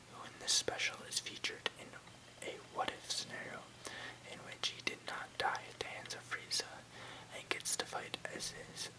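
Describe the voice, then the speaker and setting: A person reading an article aloud in a breathy, unvoiced ASMR whisper, careful and occasionally stumbling over words. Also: low-quality recording.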